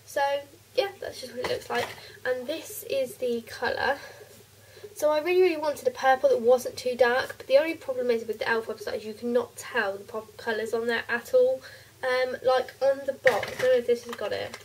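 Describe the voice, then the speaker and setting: A teenage girl with a cold and a croaky, stuffy voice, chatting casually through a makeup haul in her bedroom, with lots of ums. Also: a little high, fairly even intonation, fairly quick, far from the mic, slight background noise, low-quality recording. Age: teens. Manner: chatty, rambling with many ums. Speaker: beauty vlogger. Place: bedroom, indoors.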